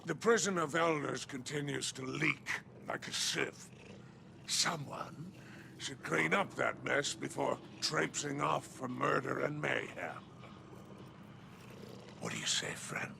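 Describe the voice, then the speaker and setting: An actor playing a sly, scheming male alien crime lord in a video game, gravelly and mocking, with a theatrical drawl. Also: medium pitch, big pitch swings, slow, background noise, exaggerated voice. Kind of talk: video game cutscene acting.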